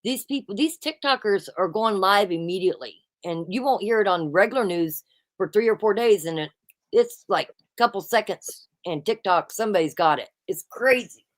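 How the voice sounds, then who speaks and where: Loud woman host, punchy and emphatic, mid-pitched, keeps going "listen". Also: animated, normal speed. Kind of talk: casual chat.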